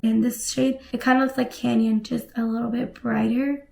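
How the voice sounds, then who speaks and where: A young woman with a raspy, hoarse voice, still recovering after losing it, chatting casually through a makeup tutorial in a small room at home. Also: fairly high pitch, normal speed, faint music behind. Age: young adult. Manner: relaxed, chatty product commentary while applying makeup. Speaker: beauty vlogger.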